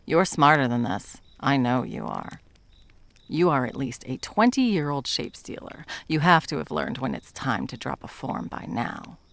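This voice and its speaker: A woman's voice: extremely low pitch, animated, a little slow, vocal fry, a bit bright, heavily acted. A woman playing a bitter, sarcastic monster hunter and betrayed lover in a dramatic ASMR roleplay, mocking and foul-mouthed. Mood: angry, exasperated.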